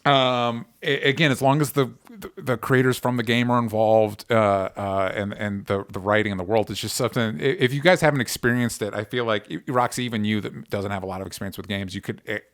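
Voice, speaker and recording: A man's voice: fairly low pitch, brisk, hardly any pauses, fairly bright tone, dry recording, clean studio sound. A man in his thirties hosting a casual pop culture discussion, talking with opinionated enthusiasm and free swearing. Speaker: podcast host.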